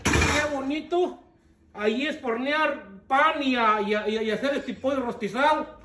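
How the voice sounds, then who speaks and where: A woman's voice: sits high, measured pace, fairly bright tone. A woman voicing a clumsy, grumbling guy doing kitchen chores. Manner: grumbling, crude. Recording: roomy and distant.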